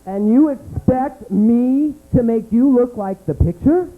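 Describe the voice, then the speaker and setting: Stand-up comic, male, high voice, slow and campy, tinny club recording with hum. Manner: campy, dramatic delivery with sudden swoops into low voice. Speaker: stand-up comedian. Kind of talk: stand-up comedy set. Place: small comedy club.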